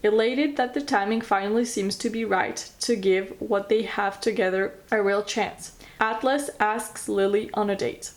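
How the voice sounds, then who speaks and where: A woman's voice: medium pitch, darkish tone. French woman chatting about books. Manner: enthusiastic, chatty, non-native phrasing. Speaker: book vlogger. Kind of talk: casual book recommendations, reading blurbs aloud.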